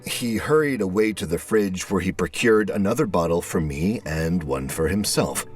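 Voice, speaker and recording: A man reading scripted first-person fiction as narrator, in a measured, deliberate way. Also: low voice, lively intonation, soft background music.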